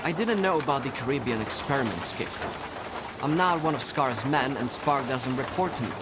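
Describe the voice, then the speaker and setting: A man's voice: medium pitch, animated, normal speed, natural voice. Guy playing a tough worried stalker, blunt and sweary, game drama, really noisy rainy background. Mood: grim, resolved. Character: gritty, worried male stalker fighter in a post-apocalyptic zone. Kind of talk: dramatic video game cutscene acting. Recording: loud rain and ambient noise.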